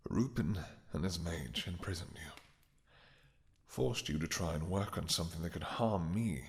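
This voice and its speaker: Man playing a deep, tender vampire king in reverb. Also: vocal fry. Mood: shocked, grave. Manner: halting, emotional, formal archaic endearments. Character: tender, devoted, guilt-ridden vampire king, romantic and regal. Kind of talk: intimate romantic fantasy audio roleplay. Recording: long hall-like reverb.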